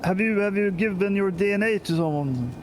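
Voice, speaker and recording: A man with a Swedish accent chatting in character at a roleplay game, hesitant and full of pauses. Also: high voice, slight background noise. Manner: hesitant, many "uh"s. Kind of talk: casual in-character chat.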